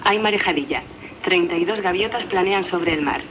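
An actress playing an impersonal announcer in a radio play, reciting facts in a formal, matter-of-fact way. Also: female voice, on the low side, normal speed, noisy background, natural voice. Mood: detached.

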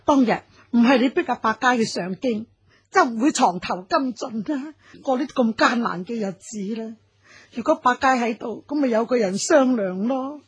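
A woman's voice: fairly high pitch, expressive, moderate pace, husky, dry recording. An actress playing an elderly, worried mother-in-law in a Cantonese radio drama, nagging and sighing plaintively. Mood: reproachful.

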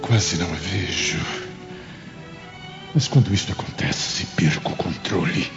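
An actor playing a lustful, evasive middle-aged Roman governor in a theatrical, brooding radio drama style. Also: male voice, low-pitched, unhurried. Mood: brooding desire. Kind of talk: dubbed radio drama acting. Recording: muffled audio under prominent music.

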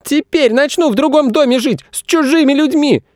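Shrill, bratty little boy. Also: moderate pace, no echo, clean studio sound. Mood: defiant. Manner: shouting, petulant, defiant. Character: small bratty boy, spiteful, shouting tantrum-thrower. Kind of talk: children's story reading.

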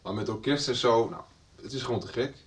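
An actor playing a blunt, teasing young adult son in a family radio soap. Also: male voice, medium pitch, a little fast, far from the mic, lightly acted. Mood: eager, teasing. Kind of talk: 1990s radio soap acting.

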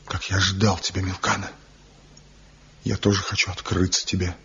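A man's voice: deep voice, little pitch movement, normal speed, sharp tone, husky, full character voice. An actor in a 1970s radio play voicing a man back after seven years, impassioned and pleading. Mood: tender. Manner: impassioned, pleading, swinging between grief and resolve. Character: man returned after seven years, passionate, tormented lover. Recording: dull lo-fi old radio recording.

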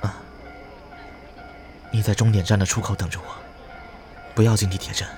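A man acts a quiet young survivor in a horror radio drama. His low voice is soft, hesitant and guarded, with music loud behind him. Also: level tone, normal speed, lightly acted. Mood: subdued, worried. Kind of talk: audio drama acting.

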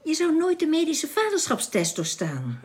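A woman's voice: high-pitched, huge pitch swings, moderate pace, darkish tone. A woman playing a composed, frank alien woman in a radio drama, formal and matter-of-fact.

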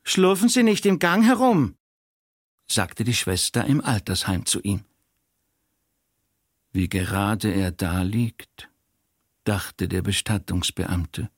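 A man playing a dry, husky radio-play storyteller who mimics bossy authority figures. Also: on the low side, expressive, slow pace, long pauses, dry recording, full character voice. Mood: dry, commanding. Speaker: narrator. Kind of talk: radio play storytelling, acting out short quotes.

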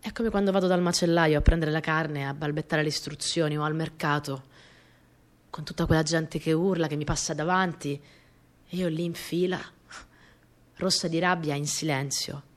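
An actress playing a small, earnest, easily flustered young wartime partisan courier, telling her story in an intimate, expressive radio drama monologue. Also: low voice, normal speed, lightly acted. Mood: hushed, confiding.

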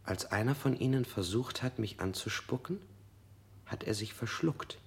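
A man's voice: mid-range voice, normal speed, creaky, close to an everyday voice. An actor playing a frightened man under interrogation in a radio drama, who keeps correcting himself and sometimes drops to a whisper. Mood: confused.

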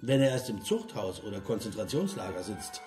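A man's voice: lowish voice, very animated, brisk, darkish tone. An actor playing a cynical, drinking party guest in a radio play, sardonic and mock-polite as he hammers out his sentences. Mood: sardonic, needling. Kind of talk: German radio play acting. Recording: roomy interior, music behind.

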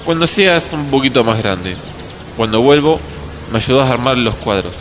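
A man's voice: mid-range voice, animated, normal speed, noisy background, lightly acted. A man playing a patient, kindly beekeeper grandfather with an Argentine accent, explaining things simply in a radio drama. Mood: patient, explanatory. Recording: clear outdoor birds and insects. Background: birds, crickets, countryside ambience.

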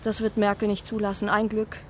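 A woman's voice: mid-range voice, completely flat delivery, a little fast, close to an everyday voice. An actress playing a talkative, caring, scatterbrained woman in a naturalistic radio drama, musing and rambling conversationally. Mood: appalled. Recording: clearly noisy outdoor ambience.

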